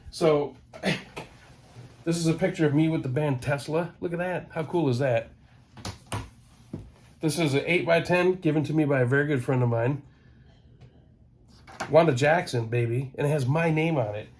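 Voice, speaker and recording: A man with a head cold, sounding nasal, hosting a casual collector vlog from his home office in an excitable, rambling way that repeats words. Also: a little high. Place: home office, ten-foot ceiling. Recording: roomy home office, mic at a distance.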